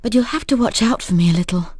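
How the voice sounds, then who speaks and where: A woman's voice: a little high, fast talker, darkish tone, breathy edge, no echo, studio quality. A woman playing a weakened but wry rescued secret agent in a video game. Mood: relieved, wry. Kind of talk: video game cutscene acting. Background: music.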